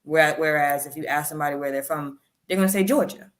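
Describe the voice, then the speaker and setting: A woman in her thirties, a rapper guest, telling stories in a chatty, animated way in an interview. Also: low-pitched, fast talker. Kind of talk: interview storytelling.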